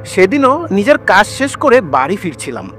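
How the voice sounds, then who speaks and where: A man tells a horror tale in the first person as a weary, frightened ambulance driver. His delivery is dramatic and suspenseful, with a very animated pitch. Music plays clearly behind him. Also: normal speed. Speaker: narrator.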